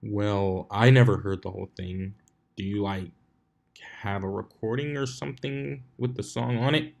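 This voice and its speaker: A man's voice: lowish voice, fairly even intonation, moderate pace, creaky, a little mellow. A man voicing a laid-back, boastful young male pegasus pony with casual phrasing for a fan fiction reading. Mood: breezy, eager. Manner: casual, dude-like.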